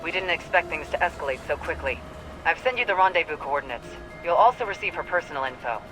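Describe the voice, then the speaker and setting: An actor playing a brisk, authoritative male mission commander in a video game, giving orders over comms. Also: fairly high pitch, animated, music in the background, natural voice, poor audio. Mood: urgent, commanding.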